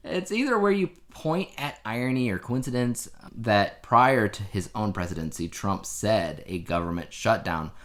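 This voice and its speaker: A man's voice: on the low side, expressive, normal speed, hardly any pauses, fairly bright tone. A man co-hosting a podcast, chatting casually through the news in a wry, rambling way. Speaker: podcast co-host.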